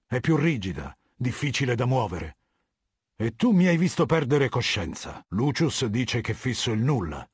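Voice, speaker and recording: Video game warlord, middle-aged. Husky, imperious, measured authority; dry studio sound. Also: male voice, mid-range voice, very animated, no echo. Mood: measured, stern. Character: cold, commanding middle-aged male warlord of a legion. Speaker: voice actor.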